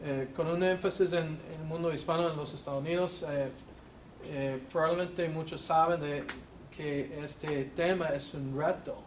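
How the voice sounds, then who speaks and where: A man's voice: a little high, fairly even intonation, a little hiss or hum. A man hosting a church workshop who introduces the speaker and then interprets him phrase by phrase, often restarting phrases. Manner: halting, restarts phrases while translating. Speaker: host and interpreter.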